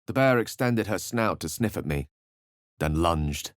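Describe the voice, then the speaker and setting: A man's voice: deep voice, animated, measured pace, dry recording, clean studio sound. A man voicing an eloquent, courtly wizard companion in a fantasy video game, speaking formally and theatrically. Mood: grave, haunted. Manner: articulate, formal, theatrical phrasing. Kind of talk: fantasy video game voice acting, dramatic narration and dialogue.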